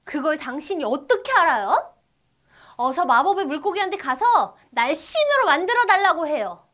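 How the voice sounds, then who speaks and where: A woman's voice: high-pitched, big pitch swings, normal speed, a bit bright. A woman playing a fisherman's greedy, demanding and haughty wife in a children's storybook.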